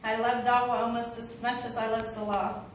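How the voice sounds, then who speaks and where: A woman's voice: on the high side, little pitch movement, moderate pace, heavy reverb, distant mic, a little hiss or hum, low-quality recording. A woman from Oklahoma with an Oklahoma accent, talking in a chatty, open way with many ums and you-knows. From Oklahoma, USA. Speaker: convert giving a talk. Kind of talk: casual personal talk.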